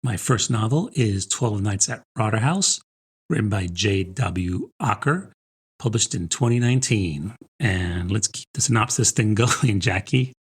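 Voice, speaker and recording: A middle-aged American man talks books as a podcast co-host. His voice sits on the low side with a husky, rough edge. The delivery is informal and chatty, often checking in with a quick rhetorical question. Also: lively intonation. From New Jersey, United States. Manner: informal, chatty, peppered with rhetorical "right?" and "I mean". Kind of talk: casual conversational book chat.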